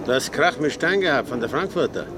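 An actor playing a concerned politician friend in a German radio drama, familiar, admonishing and placating. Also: male voice, on the high side, animated, fairly quick, background noise, close to an everyday voice. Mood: warning. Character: concerned, cautioning male friend and fellow politician. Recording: clear music behind the voice.

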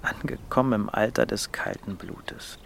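Weary, gravelly male poet. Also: on the low side, fairly even intonation, unhurried, slight background noise. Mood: weary, wry. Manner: resigned, dry, hesitant. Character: burnt-out, melancholy male Berlin poet, weary and wry. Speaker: actor in a radio drama. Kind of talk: naturalistic radio drama dialogue and diary narration.

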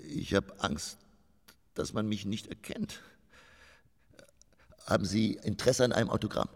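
Radio drama: conceited, tippling stage actor, pompous, creaky and husky, in a big reverberant space. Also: male voice, lowish voice, measured pace. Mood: hurt, pleading. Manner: declamatory, pompous, swinging from murmur to outburst. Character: vain, hard-drinking stage actor, wounded and theatrical. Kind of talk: German radio drama acting. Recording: long reverberant hall.

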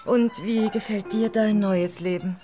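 Woman playing flirty society mistress in a radio drama, intimate, teasing, mid pitch, faint music. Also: steady tone, unhurried, lightly acted. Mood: intimate, curious. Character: affectionate, flirtatious society lady, a former mistress. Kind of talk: German radio play acting.

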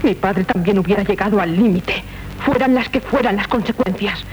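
A woman's voice: medium pitch, moderate pace, darkish tone, natural voice. An actress playing a proud, grieving young noblewoman who demands justice, solemn and declamatory in a 1970s Spanish radio drama. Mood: bitter reproach. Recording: muffled, noisy 1970s radio tape.

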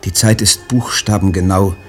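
An actor playing a withdrawn, submissive poet son reading out stilted, deferential letters in a radio play. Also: male voice, low-pitched, steady tone, moderate pace, a little hiss or hum, close to an everyday voice. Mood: stiff, submissive.

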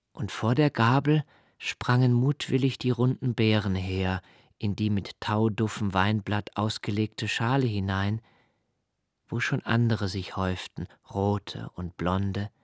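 An actor playing a pensive, sensitive man who recalls his childhood in reflective, deliberate literary narration, with swells of intensity. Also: medium pitch, fairly bright tone, no room sound, studio quality, lightly acted. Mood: wistful, absorbed. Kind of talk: literary first-person radio-play narration.

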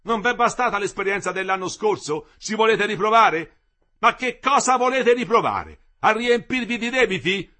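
Storybook figure: man reading as a furious, tired older male engineer; high, loud, husky harangue. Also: lively intonation, moderate pace, exaggerated voice. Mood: scolding. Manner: shouting, scolding.

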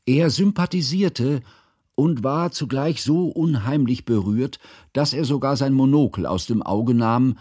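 An actor playing a precise, ironic narrator, telling a literary story in measured radio drama narration. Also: male voice, mid-range voice, a little mellow, slightly rough, no room sound, studio quality. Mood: ironic recounting. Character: observant, dryly ironic male narrator recalling salon society.

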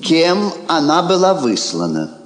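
A man's voice: fairly high pitch, huge pitch swings. Haughty king, wildly swooping intonation. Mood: displeased, questioning. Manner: pompous, theatrical, deliberate, wry. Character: haughty, ironic, courtly king receiving a diplomat. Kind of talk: classic Russian stage radio drama acting. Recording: echoey theatre-like hall, old recording.

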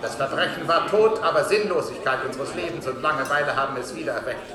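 An actor playing a grave head of government addressing the nation in a formal, emphatic radio-play speech. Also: male voice, a little high, fast talker, echoey room, a crowd in the background, lightly acted. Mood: urgent, rallying. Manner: solemn, emphatic oratory.

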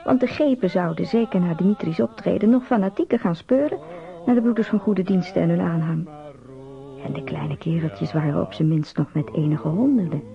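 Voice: mid-range voice, a little fast, creaky, music in the background. A narrator telling a scripted story in a radio play. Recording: dull radio play recording.

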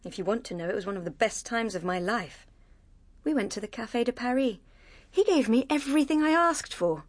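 A woman's voice: fairly high pitch, lively intonation, brisk. An actress playing a discontented, jealous young woman in a radio drama, with a British accent and a petulant manner. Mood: jealous, defiant. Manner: petulant, emotional.

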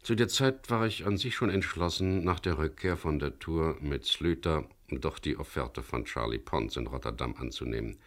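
An actor playing a wry, world-weary middle-aged English skipper who narrates a radio crime drama in a gravelly, laconic voice. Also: male voice, rumbling low, normal speed, hardly any pauses. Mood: dry, reflective. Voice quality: gravelly, husky. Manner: laconic, dry, ironic.

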